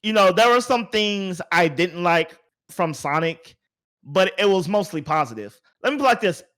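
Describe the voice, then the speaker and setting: A man's voice: high-pitched, expressive, normal speed, fairly bright tone. A man hosting a podcast, chatting casually about movies in an informal, emphatic way. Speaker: podcast host.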